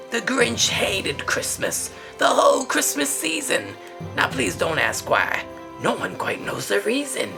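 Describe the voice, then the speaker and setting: A woman's voice: medium pitch, vocal fry. A woman reading a children's story aloud in a theatrical, playful way, with comic asides. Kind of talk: animated read-aloud of a children's picture book.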